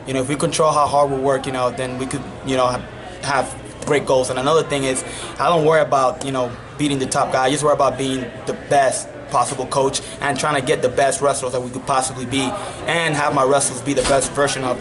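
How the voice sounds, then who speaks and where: A young man from the Dominican Republic, a native Spanish speaker, giving a relaxed post-match interview as a college wrestling coach, full of you-knows. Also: medium pitch, quick pace. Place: wrestling tournament arena. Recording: loud arena crowd behind. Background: crowd noise.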